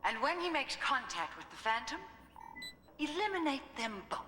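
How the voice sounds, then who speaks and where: An actress playing an icy, commanding corporate boss and mother in an animated series, controlled and imperious. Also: high voice, normal speed. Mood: cold, commanding.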